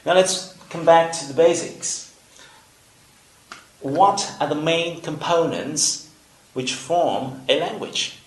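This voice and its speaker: A man from Vietnam with a Vietnamese accent, a native Vietnamese speaker, teaching an English lesson in a deliberate, explanatory way with pauses between phrases. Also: fairly high pitch. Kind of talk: instructional video lesson. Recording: roomy, echoing room sound.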